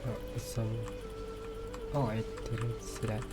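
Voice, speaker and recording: Female game streamer: extremely low pitch, casual, hesitant running commentary over game music. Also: level tone, takes it slowly. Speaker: gaming streamer playing through a story quest.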